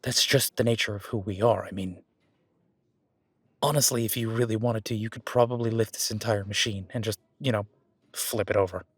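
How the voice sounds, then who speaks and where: A man's voice: on the low side, fairly even intonation, fairly quick, breathy edge, no echo. A man playing a friendly, patient werewolf boyfriend who coaches a workout in a casual, instructive audio roleplay. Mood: easygoing. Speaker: gym training coach in roleplay.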